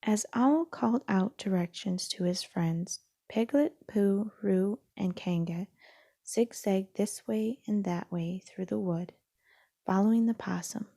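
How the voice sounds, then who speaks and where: A woman reads a children's story aloud at an unhurried pace. Her voice is husky and mellow, recorded dry and close. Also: medium pitch, no echo. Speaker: storybook reader.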